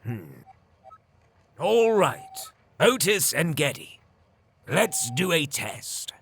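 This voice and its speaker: Wary scholarly professor for a game fan dub, played by a man: bright, very animated, unhurried and musing. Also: a little high, breathy edge, heavily acted. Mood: skeptical, deliberate. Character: wary, scholarly professor, curious but skeptical. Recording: music under the voice.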